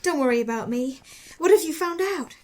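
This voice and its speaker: A woman's voice: high voice, expressive, brisk, a little mellow, a little hiss or hum. An actress playing a polite, distressed young woman putting on bravery in a noir audio drama. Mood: anxious. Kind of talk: noir radio drama acting.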